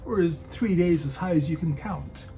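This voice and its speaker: Casting: male reader as callous Roman soldier, high-pitched and mellow, formal taunting speech, Easter drama. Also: moderate pace, close to an everyday voice. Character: cold, calloused Roman soldier devoted to the empire. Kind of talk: dramatic reading.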